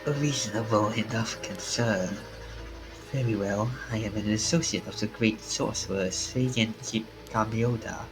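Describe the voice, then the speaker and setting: A man voicing a polite, menacing sorcerer's associate in a visual novel, speaking formally and with measured courtesy. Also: lowish voice, close to an everyday voice, rough recording. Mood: coolly courteous. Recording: game music behind the voice.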